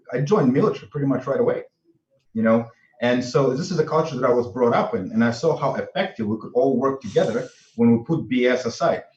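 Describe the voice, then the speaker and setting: A man with a Russian accent, a military veteran, speaking haltingly on a panel with repeated words. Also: mid-range voice, darkish tone. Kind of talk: panel discussion. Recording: roomy, distant, dull sound.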